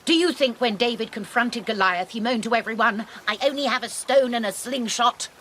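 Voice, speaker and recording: An actress playing a prim, determined missionary spinster who speaks in a proper, insistent manner. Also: female voice, fairly high pitch, normal speed, fairly bright tone, slight background noise, natural voice. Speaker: actor. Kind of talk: radio drama acting.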